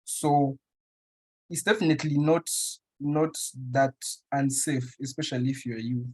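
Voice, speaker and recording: A young man from Uganda answers questions in an interview. His voice sits at a middle pitch, and he pauses often, restarting his sentences as he thinks aloud. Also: steady tone, breathy edge, poor audio. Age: young adult. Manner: thoughtful, many restarts and fillers. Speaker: interview guest, writer.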